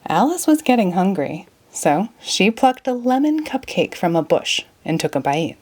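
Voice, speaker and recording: A woman narrating a children's storybook as a read-aloud, in an expressive way. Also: medium pitch, moderate pace.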